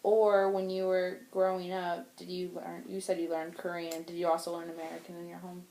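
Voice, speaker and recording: A woman's voice: on the low side, monotone, fairly quick, dark tone, roomy sound, slight background noise, rough recording. A woman interviewing a guest, asking short questions and follow-ups. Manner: brief prompts and follow-up questions.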